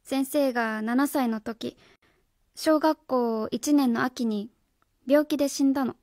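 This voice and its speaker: Woman acting a very high-voiced, earnest female schoolteacher. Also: slow, darkish tone, no room sound, studio quality. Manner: formal, earnest, indignant. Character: earnest, proud, idealistic female junior high school teacher. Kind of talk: radio drama acting. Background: music.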